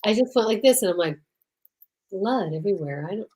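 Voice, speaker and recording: A woman's voice: medium pitch, lively intonation, brisk, darkish tone. A woman co-hosting a casual comedy chat show in a joking, theatrical manner. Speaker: co-host.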